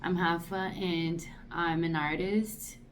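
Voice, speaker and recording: Brazilian woman in her thirties, lower-pitched, vlogging slowly in English, thoughtful and searching for words. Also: fairly even intonation, slight background noise. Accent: Brazilian Portuguese. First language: Portuguese. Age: 30s. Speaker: artist vlogger. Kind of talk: casual talk to camera.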